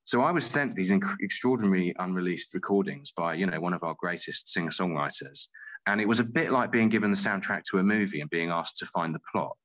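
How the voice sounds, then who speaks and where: A man with a deep, bright voice talks as an interview guest. He is articulate and thoughtful, explaining things in a conversational, storytelling way. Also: a little fast, poor audio.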